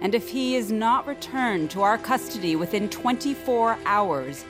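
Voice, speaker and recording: An actress plays a resolute female leader in an audio drama. She speaks formally and sternly in a mid-pitched voice over clear background music. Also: animated, unhurried, close to an everyday voice. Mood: stern, commanding. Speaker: government leader. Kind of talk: formal address, acted.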